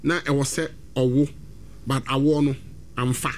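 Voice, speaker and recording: A man with a Ghanaian accent hosting a football talk segment, animated and switching between English and Twi. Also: medium pitch, unhurried. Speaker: sports radio host.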